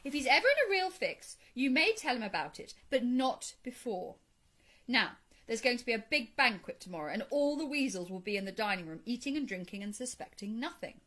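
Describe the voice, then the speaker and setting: A stern old badger, played by a woman reading a storybook, takes charge with grave authority. The delivery is deliberate and shrewd, with strongly varied intonation. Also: fairly high pitch, very animated, fairly bright tone. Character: stern, commanding older male badger, shrewd leader.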